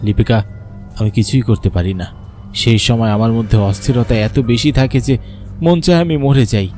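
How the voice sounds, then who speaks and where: Horror audio story: male actor as haunted male psychiatrist, dark side showing, dramatic and brooding, average male pitch. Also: moderate pace, soft background music, natural voice. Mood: anguished, ashamed.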